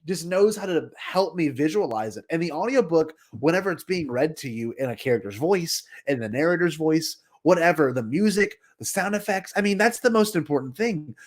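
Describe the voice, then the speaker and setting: A man's voice: fairly high pitch, expressive, fairly quick, hardly any pauses. A man co-hosting a podcast in casual chat, talking in an effusive, emphatic way. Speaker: podcast co-host.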